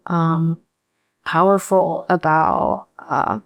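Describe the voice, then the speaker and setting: A woman painter, a native Korean speaker, answering interview questions thoughtfully with many pauses and fillers. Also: low-pitched, fairly even intonation, vocal fry, no echo, dull audio.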